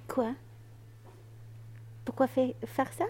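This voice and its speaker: A woman's voice: high voice, level tone, takes it slowly, warm tone, breathy edge. A woman replying casually as a guest in a group interview.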